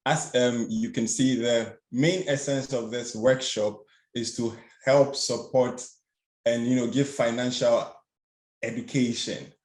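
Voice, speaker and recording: A young man from Ghana presents over a video call in a halting, slightly nervous way, stumbling and restarting with fillers as he goes. His middle-pitched voice sits in a noticeably roomy, echoing space. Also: steady tone, a little mellow. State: a bit nervous. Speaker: student workshop facilitator. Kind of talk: webinar presentation. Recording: roomy echo.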